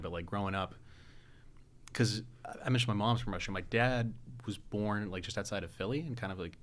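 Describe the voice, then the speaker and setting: Very deep-voiced hesitant male guest. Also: a little hiss or hum. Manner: hesitant, many fillers and restarts. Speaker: guest, actor. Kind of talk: casual podcast conversation.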